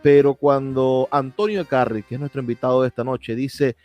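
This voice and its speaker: A man with a Venezuelan accent hosting a radio show in an earnest, measured, reflective way. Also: medium pitch, flat delivery, lo-fi sound. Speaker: radio host. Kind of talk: radio show introduction and interview. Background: music.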